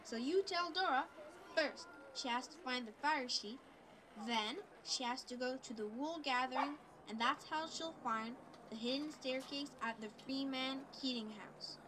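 A woman's voice: high-pitched, measured pace. A woman voicing a perky talking map, a helpful guide with a sing-song, instructive manner, in a live puppet show. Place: outdoor farmyard puppet show. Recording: outdoor ambient noise.

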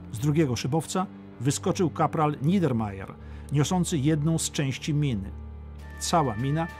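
A man's voice: on the high side, darkish tone. A man reading a scripted, dramatic history audiobook as narrator. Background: music.

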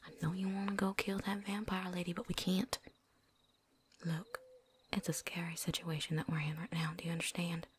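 A woman playing a seasoned, wary but friendly vampire hunter in a hushed, folksy ASMR roleplay whisper. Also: fairly low pitch, completely flat delivery, moderate pace, background noise, lightly acted. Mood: wary, worried. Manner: hushed, folksy drawl, teasing.

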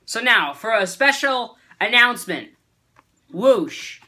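A man's voice: very high voice, very animated, slow pace, background noise. A young teenage boy hosting a casual toy unboxing in his bedroom, rambling and giggling, recorded on a webcam. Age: young teen. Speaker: channel host. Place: bedroom, indoors.

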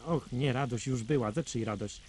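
A man's voice: mid-range voice, normal speed, a little mellow, slight background noise, lightly acted. A man playing an irritable, fussy, bossy father who huffs and hesitates in a radio play. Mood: fussy, dictating. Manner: exasperated, huffy, hesitant when dictating. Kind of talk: acted radio play dialogue.

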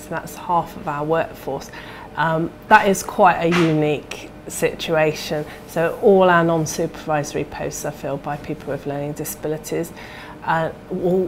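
A British woman speaks about her work in an interview, her voice on the low side. She wears a lapel mic in a small indoor room, with some background noise audible. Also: rough recording. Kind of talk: interview testimonial.

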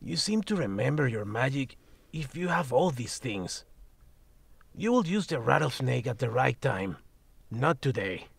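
A man's voice: medium pitch, very animated, a little slow, no room sound, heavily acted. An actor voicing a grumpy, foul-mouthed male talking cat in an audio drama, sarcastic and blunt, tossing in Spanish words. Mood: mocking. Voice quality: gravelly and husky. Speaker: magical shop cat.

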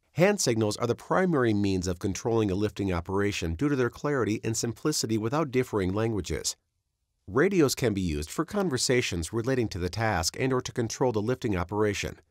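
A man with a fairly low voice narrates a training course from a script. His intonation is lively and varied while his delivery stays measured and instructive. The voice-over sounds dry and close to the mic. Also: no echo.

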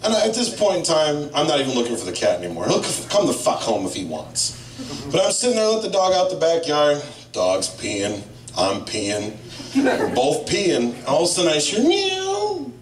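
A man's voice: on the high side, normal speed. A man in his thirties doing a profane, rambling stand-up set in a small bar, on a handheld mic filmed from a distance. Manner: profane, rambling storytelling with lively impressions. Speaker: stand-up comedian. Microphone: handheld mic, filmed by a far camera. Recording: echoey bar room, camera far off.

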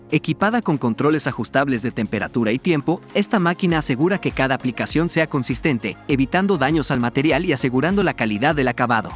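A man narrating a scripted promotional explainer in a measured, formal read-out manner. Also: medium pitch. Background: background music.

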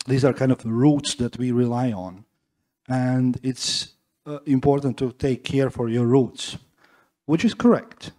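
A man moderates a panel in a large hall, speaking into a handheld mic. His voice is mellow and dark, and he talks haltingly, full of fillers and restarts. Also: medium pitch. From Latvia. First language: Livonian. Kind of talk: panel discussion.

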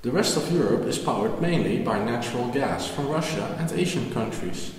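A man's voice: medium pitch, animated, moderate pace, crowd noise, close to an everyday voice. A man playing a questioning boy in scripted educational cartoon dialogue, quizzing and prompting as he goes. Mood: helpful. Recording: very echoey room, long reverb.

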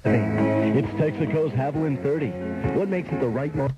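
A man's voice: mid-range voice, normal speed. Male ad announcer, dull old radio sound. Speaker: commercial announcer. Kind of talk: scripted retail ad voice-over. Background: loud music.

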